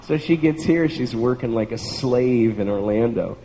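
Gravelly, dark-voiced man telling a story. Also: medium pitch, moderate pace. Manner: enthusiastic storyteller, laughs between phrases. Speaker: group participant. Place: large room with a group. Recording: distant echoey hall, faint hiss.

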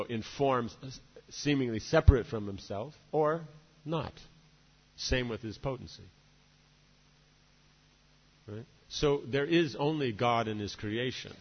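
A man giving a devotional lecture, rambling along and checking in with "right?" and "you know" between his points. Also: mid-range voice. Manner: discursive, many "you know" and "right?" asides. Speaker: spiritual teacher. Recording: dull reverberant hall recording.

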